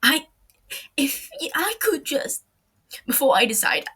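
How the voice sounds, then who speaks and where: A man's voice: very high voice, very animated, measured pace, sharp tone, voice pushed up, exaggerated voice. A woman voicing a grieving young detective.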